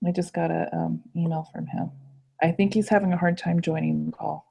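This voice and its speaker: A young woman in a student group video call, hoarse from illness, chatty and apologetic with lots of ums. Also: on the low side, fairly even intonation, fairly quick, dark tone. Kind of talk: casual video call meeting. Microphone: computer mic on a video call. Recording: dull lo-fi call audio.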